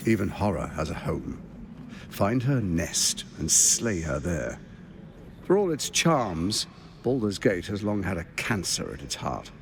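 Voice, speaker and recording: An actor playing a scheming, grandiose tyrant lord in a video game, commanding and persuasive in a theatrical way. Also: male voice, sits low, very animated, measured pace, background noise, exaggerated voice. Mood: coolly menacing. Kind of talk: video game cutscene acting.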